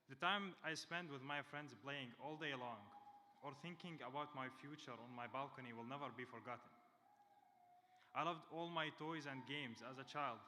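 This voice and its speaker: A man's voice: mid-range voice, sharp tone, slight background noise. Young man giving a graduation speech. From Syria. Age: 20s. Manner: earnest, reading from a script. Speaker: graduation speaker. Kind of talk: prepared speech. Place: large hall. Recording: reverberant hall with faint ambience.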